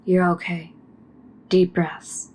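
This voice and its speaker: A composed intelligence officer, played by a woman in a sci-fi audio drama. Her voice is fairly low, measured and professional. Faint ambience is heard behind her. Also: completely flat delivery, darkish tone, slight background noise. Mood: calm, reassuring. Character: composed, dutiful female military intelligence interviewer.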